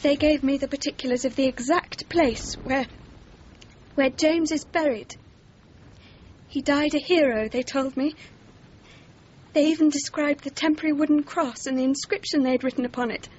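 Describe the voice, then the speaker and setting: A woman's voice: high voice, moderate pace, a little mellow, natural voice. An actress playing a polite, earnest, anxious young well-bred woman in a radio drama dialogue. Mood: earnest, determined. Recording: dull, faintly noisy, outdoor ambience.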